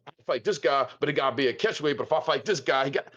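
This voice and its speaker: A man's voice: mid-range voice, rapid-fire, fairly bright tone. A man, calling in and talking bluntly in slang, repeating himself. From South Philadelphia. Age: 50s. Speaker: caller. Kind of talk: call-in chat. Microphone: Discord call. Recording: muffled call audio.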